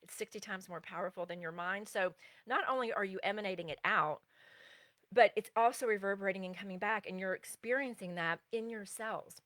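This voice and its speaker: A woman's voice: mid-range voice, fairly quick, fairly bright tone, dry recording. A middle-aged woman teaching as a spiritual relationship coach in a live stream, earnest and meandering, breaking off to answer viewer comments.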